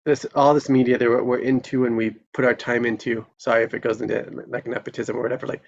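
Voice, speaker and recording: Young guy, raspy n full of fry, casual chat, kinda dull lo-fi audio. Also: medium pitch, little pitch movement, quick pace, slight background noise. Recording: dull, lo-fi microphone sound.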